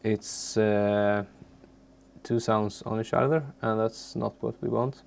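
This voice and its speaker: A man who is a non-native English speaker presents an unscripted software tutorial in a halting way, searching for words. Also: on the low side, steady tone, creaky, heavy background noise. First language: not English.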